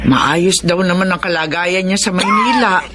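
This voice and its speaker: An actress playing a gentle, worried middle-aged mother from the provinces in a Filipino radio drama. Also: on the low side, steady tone, moderate pace, very noisy, lightly acted.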